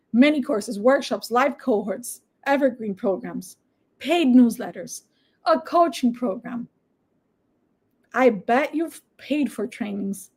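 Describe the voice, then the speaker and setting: A woman from the Dominican Republic, a native Spanish speaker, speaking English with a Spanish accent and a somewhat high voice. She walks viewers through software on screen in a brisk, persuasive teaching style. She keeps stopping to check in with a quick "okay?". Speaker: online business coach. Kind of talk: screen-share tutorial and sales pitch.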